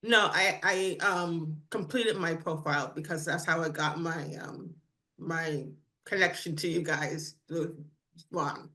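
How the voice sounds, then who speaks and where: A woman's voice: sits low, fairly even intonation, slow pace, pushed and bright. A woman from New England introducing herself on a call, speaking hesitantly with many fillers. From New England, USA.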